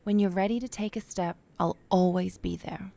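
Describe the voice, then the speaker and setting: A woman playing a gentle, supportive middle-aged mother, speaking tenderly and reassuringly in an audio drama. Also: on the low side, fairly even intonation, moderate pace, gravelly.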